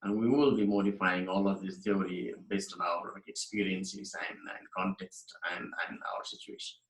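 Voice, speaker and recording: A man from Nepal delivers a recorded lecture over his slides in a fairly low voice. He talks in an earnest, emphatic way, often restarting and repeating phrases. The room around him is echoey. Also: fairly even intonation, normal speed. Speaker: university lecturer.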